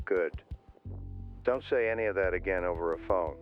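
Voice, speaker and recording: Guarded middle-aged male official voiced by an actor, low, biting, cryptic delivery through a narrow phone filter. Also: normal speed. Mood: curt, guarded. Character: guarded, curt older male government wildlife supervisor. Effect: telephone filter. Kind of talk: audio drama dialogue. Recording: thin phone line, faint music.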